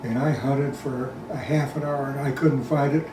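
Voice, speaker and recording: A man in his nineties, interviewed at a private home, telling childhood memories in a plain, unhurried way. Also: medium pitch, fairly even intonation. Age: 90s. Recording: roomy sound, distant mic, background noise.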